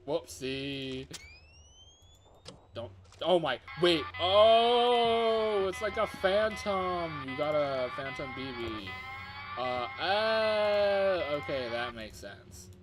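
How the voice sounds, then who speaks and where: A man giving live, excitable gaming commentary as he plays, thinking aloud as he goes. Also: fairly high pitch, a little hiss or hum. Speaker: livestreamer playing a fangame.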